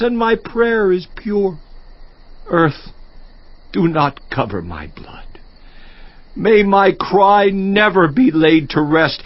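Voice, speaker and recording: An actor playing a grief-stricken, worn-out righteous man lamenting to God in a dramatized scripture audiobook, with anguished, drawn-out delivery. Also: high voice. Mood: pleading. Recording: dull lo-fi audio, echoing room.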